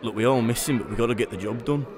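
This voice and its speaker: An apologetic, dutiful beat cop played by a man in a radio drama, folksy and quick-spoken. A crowd bustles outdoors behind him. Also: mid-range voice, heavy background noise, lightly acted. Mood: apologetic, firm. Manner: folksy, colloquial. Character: dutiful, apologetic low-ranking policeman on guard.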